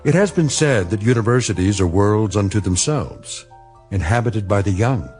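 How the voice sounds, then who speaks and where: Low-voiced, ominous radio drama narrator, a man. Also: animated, moderate pace, darkish tone, faint music behind, lightly acted. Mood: measured, foreboding. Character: male omniscient narrator, measured, ominous, knowing.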